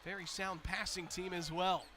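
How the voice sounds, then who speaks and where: An American man doing energetic live basketball play-by-play commentary, building to excited calls on big plays. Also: sits high, moderate pace, some chatter behind, slight background noise.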